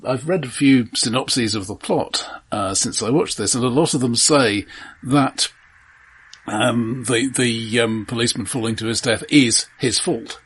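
Husky man talking through films. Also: medium pitch, a bit bright. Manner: hesitant, repeats words, measured reasoning. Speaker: film discussion podcast co-host. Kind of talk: casual film discussion.

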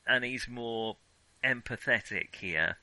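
A man's voice: medium pitch, takes it slowly, over a noisy crowd, low-quality recording. A middle-aged man, co-hosting a casual film discussion. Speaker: podcast co-host discussing films.

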